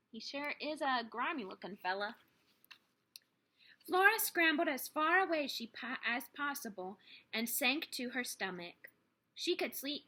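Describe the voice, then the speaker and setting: A woman's voice: a little high, pushed and bright. A woman reading a children's book aloud expressively, with dramatic, emphatic phrasing for young listeners. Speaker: teacher reading a story aloud.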